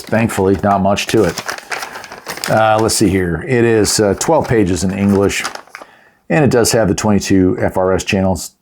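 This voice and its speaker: A man's voice: on the low side, a little mellow, slight background noise. A middle-aged man giving a casual, unscripted product review in a folksy, chatty, plain-spoken way. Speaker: host of a product review channel.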